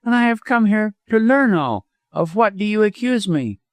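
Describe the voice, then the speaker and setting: A man voicing a defiant, disdainful widow in an audiobook story, with a steady and scornful manner. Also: high voice, moderate pace, no echo, pitched above the performer's own voice. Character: defiant, disdainful widow, a woman standing firm.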